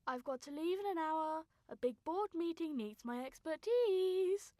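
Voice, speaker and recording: A woman's voice: extremely high pitch, animated, a little slow, warm tone, no echo, studio quality, pitched above the performer's own voice. A woman voicing a busy professional mother, affectionate yet guarded, in radio drama dialogue. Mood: brisk, cheerful.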